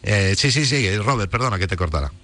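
A man from Spain co-hosting a radio motoring show, chatting warmly with many fillers and repeated words. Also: lowish voice, lively intonation, brisk. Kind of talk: radio talk show chat.

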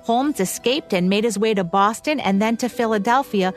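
A woman's voice: fairly high pitch, normal speed, faint music behind. An American woman reading scripted narration as co-host of a radio show.

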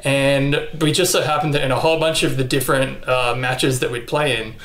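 A young man with an Australian accent chats easily as an interview guest, filling his answers with ums and likes. His voice sits at an ordinary pitch for a man. The room around him rings with noticeable echo. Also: a little fast. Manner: relaxed, chatty, frequent ums and likes. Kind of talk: casual interview chat. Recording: echoey room.

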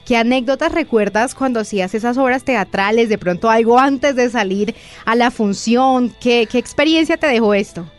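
Higher-voiced Colombian woman interviewer. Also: normal speed, soft background music. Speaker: interviewer, host. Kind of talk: friendly conversational interview.

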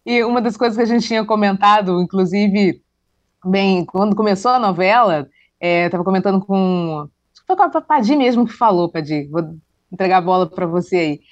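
A man hosting a live talk show, relaxed, passing the turn to his co-hosts. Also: sits high, moderate pace, lo-fi sound. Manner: relaxed, passes questions to co-hosts. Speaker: host.

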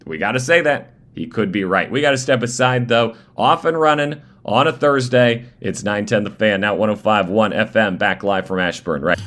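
A man hosting live sports talk radio, fighting a voice that is going, talking in restarts and quick asides. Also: on the low side. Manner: animated, restarting phrases. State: losing his voice. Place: radio studio.

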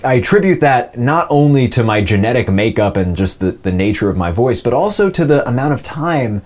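A man's voice: mid-range voice, very animated, a little fast, a little mellow. A man in his twenties with a polished, announcer-like voice, telling stories casually and self-deprecatingly as an interview guest. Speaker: voice actor guest.

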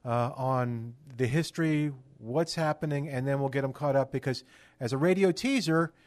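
A man's voice: mid-range voice, moderate pace, a little mellow, no echo. A man hosting a radio show, talking in an informal, conversational way with loose run-on phrases.

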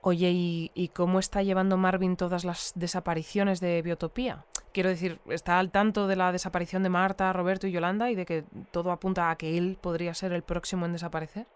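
A woman's voice: fairly low pitch, level tone, brisk, clean tone, close to an everyday voice. An actress playing a polite, composed female host of a community news bulletin in an audio drama. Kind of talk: scripted radio interview acting.

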